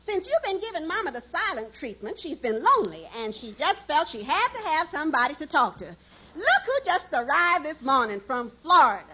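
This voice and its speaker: An actress playing a bossy, cheery wife in a 1940s radio sitcom. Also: female voice, high voice, very animated, moderate pace, sharp tone, slight background noise, full character voice. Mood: announcing. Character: bossy, cheery wife managing a feuding household. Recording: muffled old radio recording.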